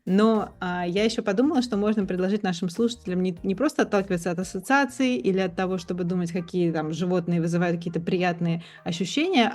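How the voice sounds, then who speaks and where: A woman's voice: medium pitch, darkish tone. A woman co-hosting a casual discussion, tentatively thinking aloud and rephrasing as she goes. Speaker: podcast co-host.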